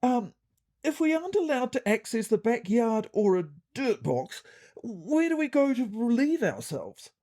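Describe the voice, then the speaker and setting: A woman doing a high, anxious New Zealand tomcat. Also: sing-song, warm tone. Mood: anxious, hesitant. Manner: halting, stammering, pleading. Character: anxious, hesitant male cat full of questions.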